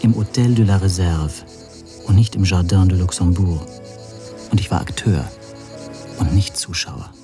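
An actor playing a brooding, anxious hotel guest under suspicion, narrating a radio drama in the first person in a measured, wry way. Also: male voice, deep voice, music in the background. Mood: brooding, introspective. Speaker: narrator and protagonist.

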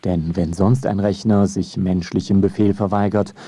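A man narrating a scripted radio feature in a measured, wry manner. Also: low voice, level tone, warm tone, breathy edge, no room sound. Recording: studio narration over music bed.

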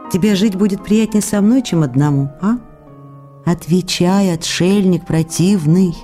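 Lively, playful actress in love played by a woman. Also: mid-range voice, natural voice. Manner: intimate, coquettish, expressive. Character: affectionate, playful, teasing actress writing to her faraway lover. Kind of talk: radio drama reading of personal letters.

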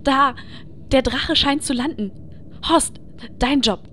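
Female actor as composed adventuring guild leader, comic fantasy radio play, measured authority, slightly noisy track. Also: sits high, fairly bright tone. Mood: composed, commanding. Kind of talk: fantasy audio drama parody.

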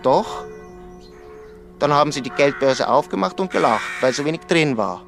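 An actor playing a young village footballer, earnestly and matter-of-factly passing on local news in a radio drama dialogue. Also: male voice, a little high, expressive, normal speed, vocal fry, over music.

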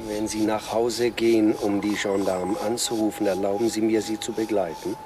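An actor playing a calm, reserved police inspector in a radio drama, politely questioning in an understated way. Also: male voice, lowish voice, moderate pace, noisy background, close to an everyday voice.

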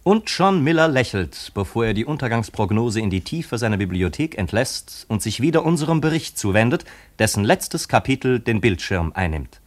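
A man narrating a radio play in a measured, literary scripted style. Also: medium pitch, sing-song.

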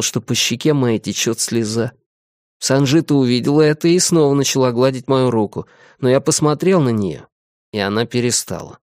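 A man narrating an audiobook in the first person, his pitch in the everyday middle range. His delivery is measured and reflective, and the recording is dry and close with no room sound. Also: a little mellow, no echo.

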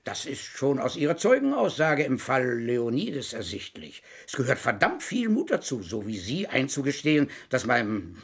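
An actor playing a shrewd, needling middle-aged police inspector in a crime radio play, deliberate and slyly sympathetic. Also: male voice, medium pitch, wildly swooping pitch, breathy edge, full character voice. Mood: mock-sympathetic. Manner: sly, deliberate, feigned sympathy with pauses.

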